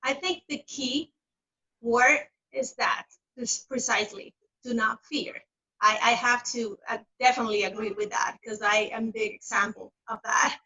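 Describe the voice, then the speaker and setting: A woman's voice: fairly high pitch, steady tone, moderate pace, sharp tone. A woman in her fifties from the Dominican Republic, Spanish her first language, speaking earnestly and searching for words. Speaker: graduate. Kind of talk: video call conversation. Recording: dull lo-fi call audio.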